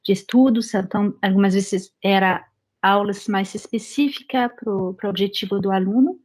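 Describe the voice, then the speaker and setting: A woman from France with a French accent, a French teacher and interview guest, speaking Portuguese as a second language with a hesitant, halting delivery. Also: mid-range voice, fairly even intonation, darkish tone. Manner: hesitant, many fillers and restarts in a second language. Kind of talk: casual interview chat.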